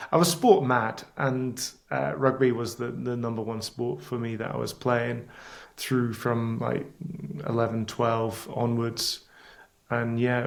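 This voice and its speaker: A man's voice: medium pitch, gravelly. A man in his thirties with a Scottish accent, talking casually as a podcast guest and rambling a little with restarts. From Scotland. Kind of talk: casual interview chat.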